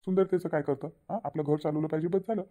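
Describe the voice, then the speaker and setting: A man voicing a middle-aged village father in a cartoon, quick and plain-spoken with a lilt. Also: on the high side, steady tone, warm tone, close to an everyday voice. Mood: calm. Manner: rapid, plain-spoken, repeats himself. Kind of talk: cartoon voice acting. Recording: tinny phone-like sound.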